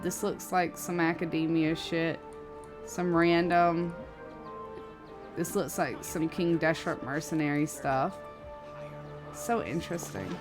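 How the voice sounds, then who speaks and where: A woman doing casual live game commentary. Her voice is on the low side and her talk is chatty and full of slang. Game music plays clearly behind her. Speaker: game streamer. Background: video game music.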